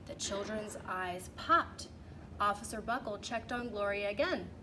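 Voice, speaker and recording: A woman reading a children's storybook aloud in an animated, emphatic way, drawing out key phrases. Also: mid-range voice, measured pace. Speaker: teacher reading a picture book aloud. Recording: clearly noisy background.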